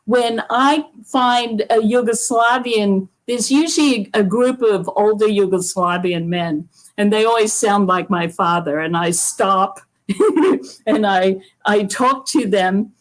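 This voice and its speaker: A woman co-hosting a casual video-call chat, effusive and quick to laugh. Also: mid-range voice, low-quality recording. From Australia. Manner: warm, effusive, laughs often. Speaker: co-host.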